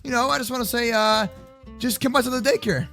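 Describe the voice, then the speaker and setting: A man improvising an excitable, exaggerated Minecraft roleplay as host of a kids' gaming channel. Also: very high-pitched, fast, faint music behind. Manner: excitable, exaggerated playful delivery.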